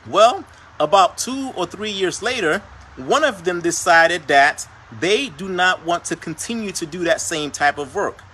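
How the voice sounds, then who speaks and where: A man's voice: high voice, lively intonation, a little slow, sharp tone, a little hiss or hum. A man from Haiti, giving an earnest motivational livestream talk and often repeating phrases. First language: Haitian Creole. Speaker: host.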